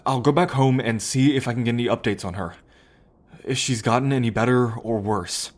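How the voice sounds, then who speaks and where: A man's voice: medium pitch, brisk, lightly acted. A man acting a remorseful, affectionate brother in an ASMR roleplay, with a hesitant, heartfelt delivery. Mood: guilty, distressed.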